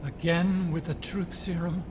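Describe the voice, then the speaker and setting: A man's voice: fairly high pitch, level tone, a little slow, noisy background, close to an everyday voice. A man playing an anxious, defensive captive under interrogation, speaking in a strained, faltering way in game dialogue. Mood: fearful, defensive.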